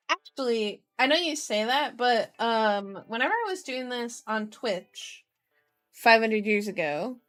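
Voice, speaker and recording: A woman hosting a livestream in casual chat, with a playful, childlike way of phrasing things and a habit of repeating herself. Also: mid-range voice. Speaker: VTuber livestream host. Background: background music.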